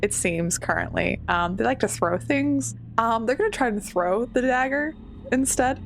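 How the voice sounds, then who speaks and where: Woman player describing her character's moves, lots of vocal fry, noisy background. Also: a little high, animated, moderate pace. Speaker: tabletop game player. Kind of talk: casual in-game narration.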